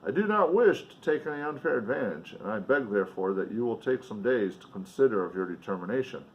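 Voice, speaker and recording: A man voicing a polite, reasonable country landlord in a formal, measured book-reading delivery. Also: lowish voice, animated, lo-fi sound. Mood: reasonable, concerned.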